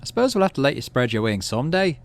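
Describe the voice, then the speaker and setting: A man reading a game's dialogue aloud and voicing a gentle, anxious, protective father. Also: mid-range voice, very animated, very fast, a little hiss or hum, pitched above the performer's own voice. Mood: worried. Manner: pleading, soft.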